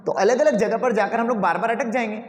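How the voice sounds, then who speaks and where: High-voiced man lecturing in echoey classroom. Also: quick pace. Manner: asks the class rhetorical questions. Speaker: English teacher.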